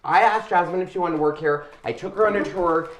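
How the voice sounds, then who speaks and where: A man's voice: high voice, fairly quick. A man in his thirties hosting a casual group chat, telling stories in a chatty way. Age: 30s. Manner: chatty storyteller. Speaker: host and business owner. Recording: roomy echo.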